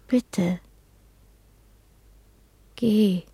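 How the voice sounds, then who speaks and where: Traumatized young woman in trance, very dark muted tone, halting half-whispered fragments, close dry radio drama sound. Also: mid-range voice, husky, no room sound, exaggerated voice. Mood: dazed, frightened. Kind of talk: 1970s German radio drama acting.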